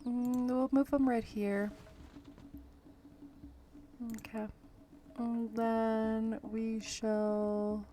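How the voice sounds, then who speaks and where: A woman streamer calmly thinking aloud as she plays, keeping an even tone over game music. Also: fairly high pitch, drawn-out words, pauses often, slight background noise. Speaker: gameplay streamer. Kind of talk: casual gameplay commentary.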